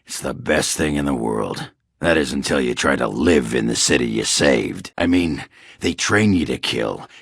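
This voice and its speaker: A man playing a crude, boozy, belligerent dwarf warrior in a video game, with a raspy, guttural voice and a coarse, blustering, swaggering manner. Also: a bass voice, a bit bright, no room sound, clean studio sound, full character voice. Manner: coarse, blustering, swearing, slurred drunken swagger. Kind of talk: video game character acting.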